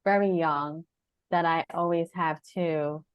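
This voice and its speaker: A woman's voice: on the low side, fairly even intonation, slow pace, clear, resonant tone, no echo. A woman from Jamaica with an American accent, a panel guest giving a thoughtful personal account over a video call.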